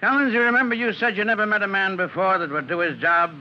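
Gruff American fire captain, acted by a man, muffled old radio. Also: high voice, steady tone, fast, close to an everyday voice. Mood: kindly, measured. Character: gruff, fatherly fire captain of a rescue company. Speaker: actor in a radio drama. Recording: muffled, noisy vintage radio recording.

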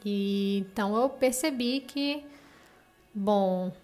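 A woman with a Brazilian accent, casually telling a personal story as a caller in a halting, reflective way. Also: medium pitch, darkish tone. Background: music under the voice.

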